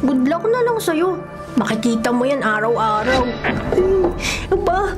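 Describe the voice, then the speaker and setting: Very high shrill voice, spooked young boy acted by a woman, excitable, fearful, radio drama, music behind. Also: a little slow. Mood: nervous. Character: jittery, easily spooked young boy, the best friend. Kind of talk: radio horror drama acting. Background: background music.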